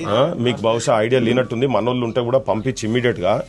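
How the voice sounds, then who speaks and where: A man's voice: medium pitch, fairly even intonation, fast talker, darkish tone. A man with a Telangana Telugu accent speaking informally on a phone call, insistent and repetitive, mixing in English words. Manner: insistent, repeats himself, mixes in English words. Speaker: caller raising a complaint on speakerphone.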